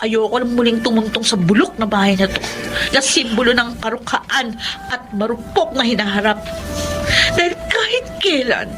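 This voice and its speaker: Young Filipino daughter female actor, high voice, headstrong, melodramatic radio drama, music behind. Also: expressive, moderate pace, natural voice. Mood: bitter, defiant. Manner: melodramatic, emphatic. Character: ambitious young daughter, headstrong, emotional, later remorseful.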